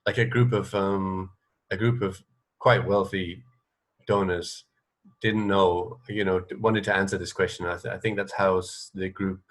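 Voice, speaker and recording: A man's voice: deep voice, fairly even intonation, unhurried, a bit bright. A man hosting a casual radio interview, speaking tentatively and thinking aloud as he goes.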